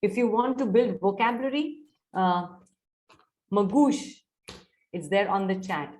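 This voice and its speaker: A middle-aged woman with an Indian English accent gives an online soft skills lecture to students. She coaxes them and keeps checking whether they are following. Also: mid-range voice, unhurried, low-quality recording. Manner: coaxing, mixes Hindi into English, checks on students often. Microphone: video call.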